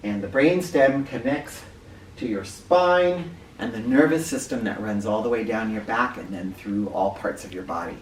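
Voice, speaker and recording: A man hosting an educational read-aloud for children, speaking directly to them with clear emphasis and questions. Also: lowish voice, moderate pace. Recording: roomy, distant mic with faint noise.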